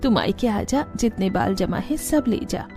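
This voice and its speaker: A woman voicing a gentle, caring and poor village mother in a Hindi cartoon story. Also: medium pitch, animated, unhurried, soft background music. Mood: reassuring.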